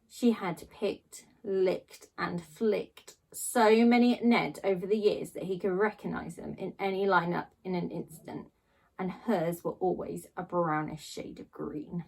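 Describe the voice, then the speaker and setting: A woman reading a children's story aloud in a theatrical way, with dramatic pauses and drawn-out emphasis on key words. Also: lowish voice, fairly even intonation, gravelly, poor audio. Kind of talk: expressive children's storybook narration.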